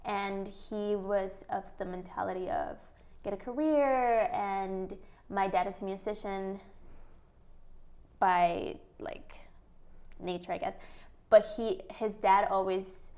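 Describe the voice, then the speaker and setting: A woman from Mexico is a guest in a casual interview, with a mid-range voice. She tells her story with animated energy and laughs often. She acts out what other people said to her. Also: mid-range voice, takes it slowly, pauses often. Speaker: guest, entrepreneur.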